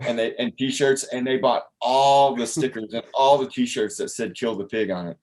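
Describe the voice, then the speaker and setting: A man's voice: mid-range voice, steady tone, normal speed, darkish tone, slight background noise, low-quality recording. Easygoing man guest. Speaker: guest performer. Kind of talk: casual conversation.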